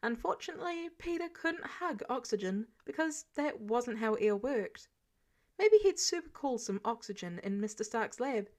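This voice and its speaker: A woman narrates fiction in a slightly high voice. She reads from a script as an audiobook narrator would, with expression, and she acts out the characters' dialogue as she goes. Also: animated, moderate pace.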